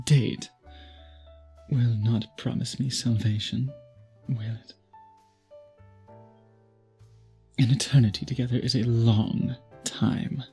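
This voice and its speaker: A man's voice: mid-range voice, warm tone, close to an everyday voice. A man playing an obsessive, old-fashioned vampire suitor in an intimate ASMR roleplay, speaking in formal, archaic, possessive phrases. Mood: wistful, yearning.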